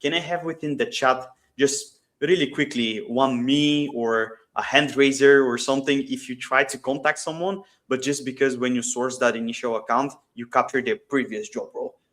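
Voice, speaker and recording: A man's voice: mid-range voice, fairly even intonation, moderate pace, hardly any pauses, a bit bright. Man explaining business in informal, emphatic style. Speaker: guest, startup CEO. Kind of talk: explanatory business talk in an online interview session.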